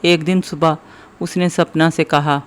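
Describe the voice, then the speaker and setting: A male storyteller reads a children's moral tale from a script. His voice sits a little high for a man. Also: flat delivery, measured pace, clear, resonant tone. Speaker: story narrator.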